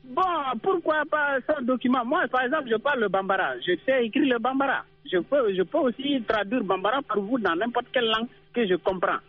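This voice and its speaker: A man's voice: extremely high pitch, a little fast, few pauses, husky. A man calling in to a radio show and explaining his view assertively, with some stumbles. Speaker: caller. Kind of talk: radio call-in opinion. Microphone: phone line. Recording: tinny dry phone line on radio.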